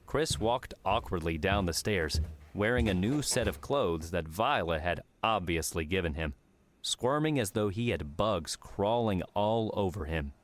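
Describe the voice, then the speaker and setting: A man's voice: deep voice, animated, a little slow, a little hiss or hum. A man narrating an audiobook, reading scripted fiction.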